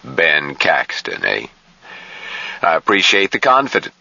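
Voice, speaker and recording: A gruff, sardonic old doctor as a male narrator acts him, low-pitched and husky. He is curt and bossy with a wry streak, the boss of the household in a story read aloud. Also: huge pitch swings, unhurried, sharp tone, no echo, lo-fi sound, exaggerated voice. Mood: brisk.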